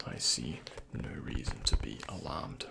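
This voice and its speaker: A soft-spoken man with a breathy, often half-whispered voice, playing a doctor in an ASMR roleplay and giving gentle instructions and reassurance. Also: rumbling low, animated, measured pace.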